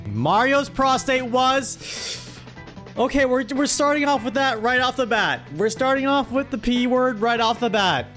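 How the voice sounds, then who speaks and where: A man's voice: very high-pitched, unhurried, fairly bright tone, faint music behind. A man hosting a stream with casual comedic commentary, exasperated and playfully scolding as he reads out what viewers write. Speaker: streamer host.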